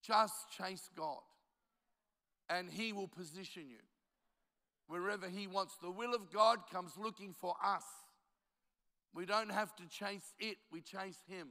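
An older man in his seventies preaches to a conference, his voice high, bright and ringing clear. He grew up speaking Italian at home and tells stories with a dry, self-mocking humor, then presses his point hard. A long hall reverb trails each phrase. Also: a little slow, long pauses. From Australia. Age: 70s. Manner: storytelling with self-deprecating jokes, rising to emphatic exhortation. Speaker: pastor. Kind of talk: conference sermon.

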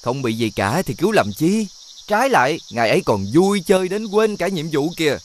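Animated, high-pitched wise fox. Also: male voice, brisk, slight background noise. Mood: indignant, urgent. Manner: earnest, insistent, advising. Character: wise friendly male talking fox, urgent guide. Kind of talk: animated fairy-tale dubbing.

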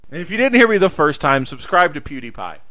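A man's voice: a little high, very animated, fast, fairly bright tone. A man hosting a radio show, chatting casually with crude, sweary, mock-pleading banter. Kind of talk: casual chat.

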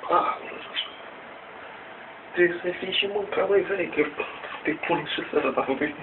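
A man in his thirties from Romania rambles haltingly through a casual, improvised exercise demonstration at home, swearing mildly. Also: high voice. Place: small room at home. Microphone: webcam mic at a distance. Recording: tinny noisy webcam audio, distant mic.

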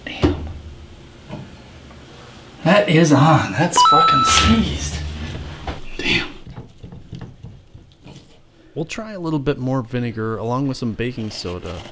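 A man's voice: on the low side, fairly bright tone, a little hiss or hum. A man hosting a home repair tutorial, talking plainly step by step as he explains the work. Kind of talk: how-to narration and muttering while working.

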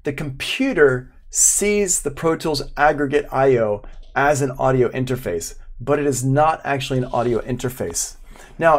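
Middle aged guy teaching a software tutorial online, mid pitched voice, chatty step by step explaining. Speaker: audio production instructor.

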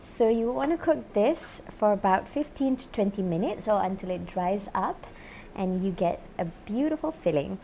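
A woman's voice: medium pitch, animated, moderate pace, vocal fry, warm tone, slight background noise. A woman in her thirties, a chef hosting a cooking show, chatting upbeat to camera with plenty of "you know" as she cooks, wearing a lapel mic in a kitchen studio. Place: home-style kitchen studio, indoors.

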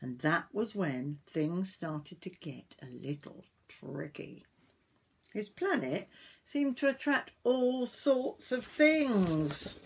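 A woman in her sixties reading a picture book aloud to young children like a grandmother, expressively, with drawn-out words and little asides to the listener. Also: fairly low pitch, expressive, breathy edge. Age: 60s. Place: small wooden summerhouse.

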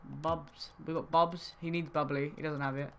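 A man's voice: on the high side, level tone, moderate pace, vocal fry, fairly bright tone, a little hiss or hum. A young man from Wales streaming a game trading session, chatting casually and reacting to his live chat.

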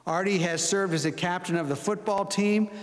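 Man formally introducing a speaker. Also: high voice. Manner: measured, formal reading. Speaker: college president introducing a speaker. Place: large hall, speaking at a lectern. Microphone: lectern mic. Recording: long hall reverb.

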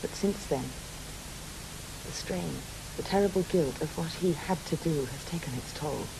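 Haunted spirit medium, creaky voice, grave dramatic phrasing, proud and troubled, radio drama, hissy recording. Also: female voice, sits low, moderate pace. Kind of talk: studio radio drama acting. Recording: hissy background noise.

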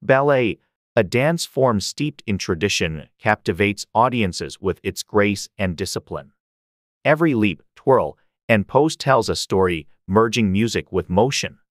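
A man with a fairly low voice narrates prepared text. His reading is measured and carefully enunciated, with a very dry recorded sound. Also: animated, no echo. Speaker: model answer reader. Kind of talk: scripted read-aloud narration.

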